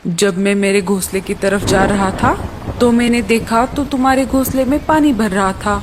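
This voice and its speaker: A woman's voice: mid-range voice, normal speed, noisy background, close to an everyday voice. A woman voicing a kindly, reassuring male crow in a children's cartoon story.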